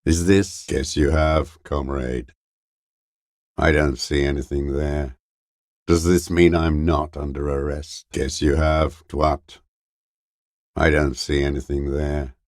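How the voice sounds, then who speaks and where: An actor playing a bitter, weary old communist deserter in a video game, gravelly and raspy, cursing with contempt in a slow mutter. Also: male voice, a bass voice, level tone, no echo, clean studio sound. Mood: dazed, resigned. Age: older. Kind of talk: dramatic video game character acting.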